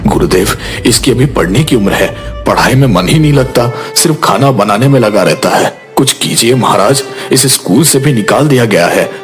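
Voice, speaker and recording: A man's voice: medium pitch, expressive, normal speed, faint music behind, lightly acted. Worried village father acted by a man. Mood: worried, pleading. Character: worried village father pleading about his son. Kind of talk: Hindi moral story dialogue.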